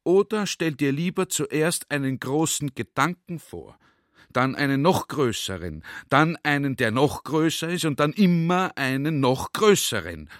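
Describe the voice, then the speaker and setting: A man's voice: on the high side, animated, measured pace, dry recording. A garrulous army general, holds forth to a comrade in a radio play. He is earnest and conversational, full of rhetorical questions, in a very dry, close recording. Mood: insistent, lecturing. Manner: conversational, rhetorical, checks listener is following.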